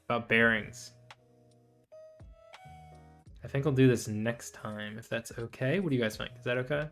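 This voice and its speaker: A man's voice: medium pitch, brisk, a bit bright. Casual male streamer rambling over music. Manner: rambling, thinking aloud. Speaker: livestreamer, VTuber host. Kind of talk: casual livestream chat. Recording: music behind the voice. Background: background music, guitar.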